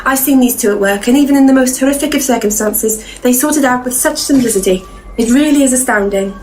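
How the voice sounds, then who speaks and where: An actress playing an earnest, loyal assistant to ghost hunters in a comic audio play. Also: female voice, sits high, fast talker, a little mellow, slight background noise, natural voice, rough recording. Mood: confident. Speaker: actor in an audio comedy drama.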